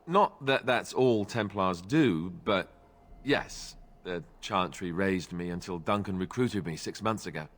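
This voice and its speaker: An actor playing a wry, sarcastic young warrior recruit and former templar in a fantasy video game. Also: male voice, low voice, unhurried, a bit bright. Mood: friendly, slightly awkward. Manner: sarcastic, glib.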